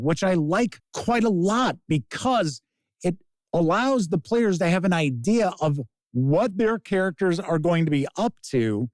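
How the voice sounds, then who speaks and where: Man, casual game reviewer, very measured pace, opinionated conversational delivery, dry studio sound. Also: high voice, a little mellow, dry recording. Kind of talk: casual spoken product review.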